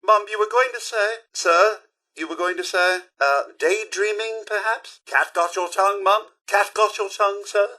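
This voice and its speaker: An actor playing a fussy, loyal robot butler in a video game, with a British accent and prim, formal, theatrical enunciation. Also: male voice, high-pitched, moderate pace, pushed and bright. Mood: courteous, prodding. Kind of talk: scripted video game voice lines.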